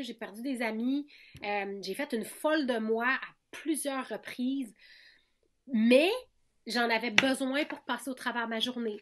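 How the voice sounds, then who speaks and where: A woman in her thirties with a Québécois accent speaks in a slightly high voice. She talks candidly and conversationally, with frequent restarts and repeated words as she works through her thoughts. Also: a bit bright. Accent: Québécois French. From Quebec. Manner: frank, many restarts and repetitions. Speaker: podcast guest, singer.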